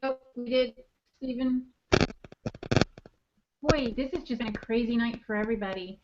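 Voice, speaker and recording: A woman's voice: fairly high pitch, normal speed, dull audio, over loud music. A woman co-host chatting in a friendly, anecdotal way on a live online panel.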